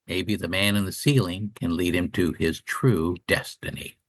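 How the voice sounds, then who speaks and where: A man's voice: low-pitched, steady tone, normal speed. A man co-hosting a radio show, reading scripted community calendar announcements.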